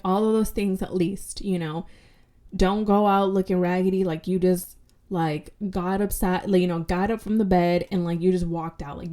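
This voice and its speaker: A woman talks through a solo podcast episode with a congested, slightly stuffy voice. Her tone is dark and mellow and sits on the low side. She speaks frankly, swears casually and fills her sentences with loose conversational fillers. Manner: candid and blunt, swears casually, many fillers like "like" and "you know". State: congested, recovering from illness. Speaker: podcast host.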